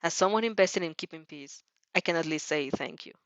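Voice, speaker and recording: A woman voicing a stern, formal male dog-like police chief in an audio story, with measured speech. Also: sits low, dry recording, lightly acted. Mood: formal, conceding.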